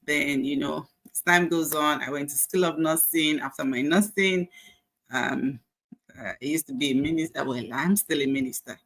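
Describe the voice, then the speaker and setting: A woman's voice: low voice, moderate pace, fairly bright tone, poor audio. A Nigerian woman in her forties sharing her life journey in a casual, faith-filled, encouraging way, with frequent fillers. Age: 40s. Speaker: nurse sharing her story. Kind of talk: casual personal testimony.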